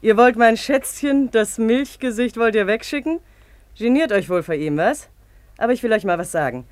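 An actress in a 1950s radio play voicing a brazen, teasing young washerwoman, sharp-tongued, mocking and overly familiar. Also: a little high, animated, normal speed, no echo, lightly acted. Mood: scornful, threatening. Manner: mocking, familiar, cajoling with pet names.

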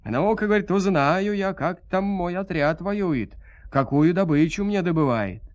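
Man acting a raging tyrant villain, raised pitch. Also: lively intonation, a little slow, lo-fi sound. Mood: gloating, curious. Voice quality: loud, commanding. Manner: barking threats and orders. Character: raging villain sorcerer-king, bossy, threatening male tyrant.

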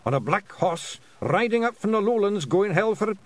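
A man voicing a very small, jumpy, sheepish pictsy pilot with an excitable, deferential Scottish way of talking, in a storybook reading. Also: high voice, moderate pace, pitched above the performer's own voice. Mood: excited reporting. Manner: excitable, deferential dialect speech.

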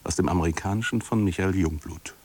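Deep-voiced man reading out credits. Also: fast talker, over loud music. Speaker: title announcer.

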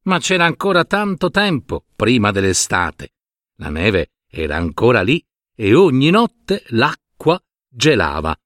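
Very expressive male fairy-tale narrator. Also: mid-range voice, unhurried, dry recording, studio quality. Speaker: storyteller, narrator.

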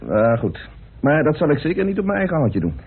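An actor playing a brisk, businesslike investigator in a radio play, speaking in a clipped, direct way. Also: male voice, on the high side, very animated, breathy edge, heavily acted. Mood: brisk, wry. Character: brisk, businesslike man who leads an investigation. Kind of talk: radio drama acting. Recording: tinny narrow-band old radio recording.

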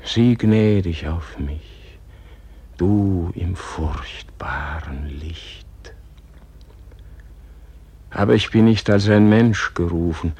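An actor playing the humble, self-doubting soul of a martyred leader in a solemn radio play, speaking in a reverent, halting, prayerful way. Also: male voice, low-pitched, darkish tone, breathy edge, full character voice. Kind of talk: solemn classic German radio play acting.

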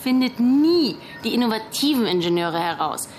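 An actress playing a spirited young tech graduate in a radio play, colloquial and quick to protest. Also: female voice, fairly high pitch, sing-song, darkish tone, a little hiss or hum. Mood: indignant. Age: young adult.

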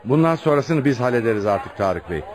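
An actor playing a brisk, courteous police commissioner in a radio drama. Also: male voice, medium pitch, lively intonation, music in the background, lightly acted. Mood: businesslike.

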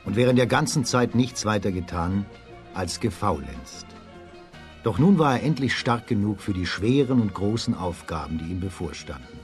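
A man narrating a children's radio play as a storyteller, in a measured storybook delivery. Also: fairly low pitch, animated, soft background music.

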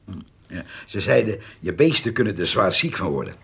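A husky old countryman for a radio play, chatty and gossipy. He rambles with pauses and repetitions, his gravelly voice rising and dipping in a lively way. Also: low-pitched, heavily acted. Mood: hesitant, concerned. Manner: long-winded, hesitant, chuckling rural storyteller. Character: chatty, gossipy old village handyman who hoards odds and ends. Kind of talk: radio drama acting.

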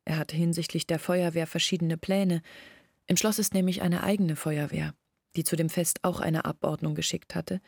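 A woman playing an embittered young villager who confides her family's disgrace in an earnest, measured way in a radio drama. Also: fairly low pitch, steady tone, gravelly, a little mellow, breathy edge, no echo, clean studio sound. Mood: reflective, recounting. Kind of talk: intimate literary radio drama storytelling.

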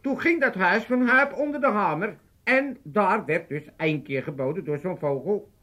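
Casting: elderly Amsterdam father for radio comedy; easygoing, cheeky, rambling, with lively swooping delivery. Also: high voice, normal speed. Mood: boastful, excited. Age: older. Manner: hesitant, roundabout storytelling. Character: old father, chatty, cheeky, easygoing gambler. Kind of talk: 1980s comic radio play acting.

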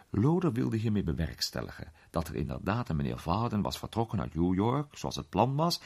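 A man playing an urbane gentleman detective who recounts his case with measured, suspenseful pauses in a radio play. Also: low voice, slightly rough, heavily acted. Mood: explanatory, emphatic. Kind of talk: dramatised storytelling in a radio play.

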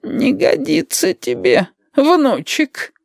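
A querulous old grandmother in a dramatized storybook. The voice is extremely high and shrill, dragging words out slowly in plaintive, martyred wails. It sounds close and dry. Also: wildly swooping pitch, creaky, darkish tone, no echo, exaggerated voice. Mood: reproachful, martyred. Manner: plaintive drawn-out shrieking and moaning. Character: querulous, manipulative old grandmother, wailing and suspicious. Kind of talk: dramatized audiobook reading.

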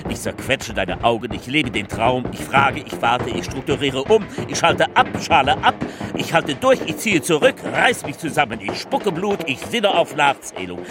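An actor playing a weary, solemn political orator in a radio drama monologue, declaiming short repetitive phrases with pauses. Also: male voice, mid-range voice, very animated, background noise, lightly acted. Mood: intense, grim, then rising urgency. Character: solemn, weary male orator delivering incantatory fragments. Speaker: actor performing collaged political speech.

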